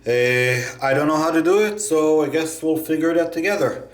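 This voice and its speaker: A man's voice: a little high, brisk, soft background music. Chatty man vlogging in an echoey room. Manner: chatty, thinks aloud while fiddling with gear. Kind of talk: casual how-to vlog.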